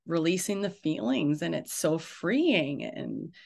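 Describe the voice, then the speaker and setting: A man hosting a casual interview, talking informally and haltingly as he thinks aloud. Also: a little high, animated, vocal fry. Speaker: interviewer, host.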